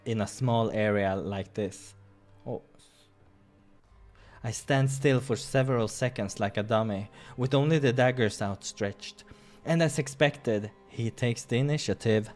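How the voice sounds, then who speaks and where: Male playthrough host: mid-pitched, expressive reader of game text, casual asides, faint music underneath. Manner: expressive storytelling. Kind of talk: reading a visual novel aloud with casual asides.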